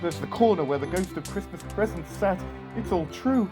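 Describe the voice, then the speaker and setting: A man acts out an old miser from a Victorian tale in an audio drama. His voice sits a little high, and he speaks with intense, theatrical earnestness in formal, old-fashioned phrases. Music plays clearly behind him. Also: lively intonation, moderate pace. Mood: giddy joy.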